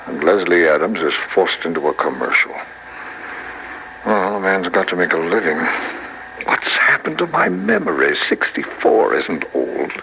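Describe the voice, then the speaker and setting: A man's voice: sits low, very animated, normal speed, vocal fry, heavily acted. An actor in his sixties voicing an aging actor's reflective, worried inner monologue in a vintage radio drama. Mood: anxious. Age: 60s. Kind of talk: 1960s radio drama acting. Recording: dull lo-fi vintage radio with faint hiss.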